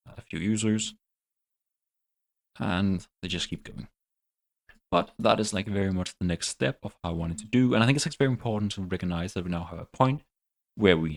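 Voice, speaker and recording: A man hosting a programming channel, walking through his project in a relaxed, unscripted tutorial and thinking aloud with many fillers. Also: sits low, creaky. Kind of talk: casual screen-recorded coding tutorial.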